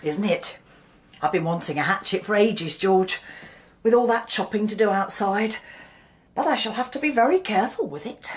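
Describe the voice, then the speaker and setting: A woman's voice: medium pitch, breathy edge, natural voice. A woman playing a scheming, politely insinuating middle-aged mother-in-law in a radio drama. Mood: sly sweetness. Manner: genteel, deliberate, insinuating. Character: scheming older mother-in-law, outwardly polite, quietly sly.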